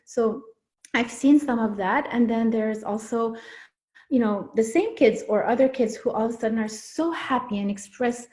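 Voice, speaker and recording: Woman from Morocco, parent coach, interview guest; thoughtful, halting delivery. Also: a little high, darkish tone. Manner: thoughtful, with fillers and repeated words. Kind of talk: casual interview conversation.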